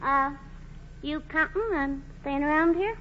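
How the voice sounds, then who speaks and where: A woman's voice: high-pitched, unhurried. An actress playing a plain-spoken, folksy small-town woman in a 1940s radio play. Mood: pert, teasing. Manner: folksy, homely turns of phrase. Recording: muffled, hissy old radio broadcast.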